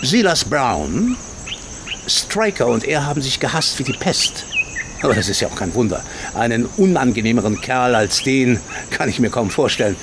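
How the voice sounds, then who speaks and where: An actor playing a haughty, sceptical colonel and racehorse owner in a radio play, blunt and condescending. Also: male voice, mid-range voice, sing-song, moderate pace, noisy background. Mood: cool, disdainful. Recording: outdoor insect ambience behind.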